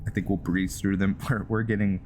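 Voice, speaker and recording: A man's voice: sits low, level tone, a little fast, a little mellow. A man giving casual commentary on a roleplay game, rambling and thinking aloud as he plays. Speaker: gaming channel host. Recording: clearly noisy background.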